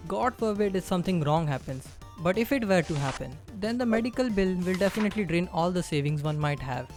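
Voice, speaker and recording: A man's voice: on the high side, darkish tone. A man from India with a strong Indian accent, narrating a scripted explainer and addressing the viewer directly.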